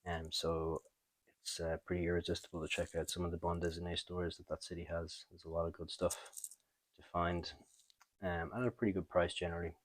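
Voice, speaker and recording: A man hosting a comic book review in a casual, unscripted way, thinking aloud with halting, trailing pauses. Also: low voice, little pitch movement, no echo. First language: not French. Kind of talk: casual unscripted show-and-tell commentary.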